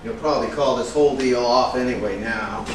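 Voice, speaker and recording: A man playing a pushy, fretting talent agent in a staged reading, with halting, deliberate delivery. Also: mid-range voice, natural voice. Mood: accusing, worried. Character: pushy, fretting male talent agent, accusing then flattering. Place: room at a live staged reading. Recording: echoey room, distant mic, noisy.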